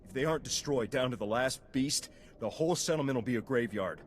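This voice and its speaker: A hard-bitten soldier of fortune voiced by a male actor in a sci-fi game. His voice sits at a middle pitch, blunt and grim, over faint noise. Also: lively intonation, fast, close to an everyday voice. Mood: grim warning. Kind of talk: video game character acting.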